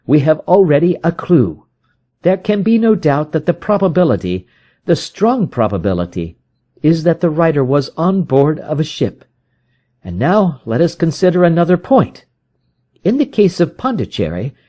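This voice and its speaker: A man's voice: on the high side, dark tone. A man reading a storybook detective who is keen, confident and methodical, reasoning aloud with emphatic, probing questions.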